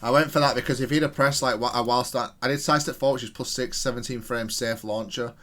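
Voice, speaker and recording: A man's voice: medium pitch, fairly even intonation, quick pace, fairly bright tone. A man giving casual gameplay commentary, analytical and thinking aloud as he corrects himself while reviewing his own play. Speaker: video game player and content creator.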